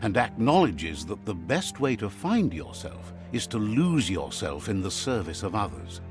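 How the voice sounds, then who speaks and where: A man playing the wry, sage narrator of an animal fable game, telling it in a measured, philosophical storybook style. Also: on the low side, sing-song, over music. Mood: reflective, sage.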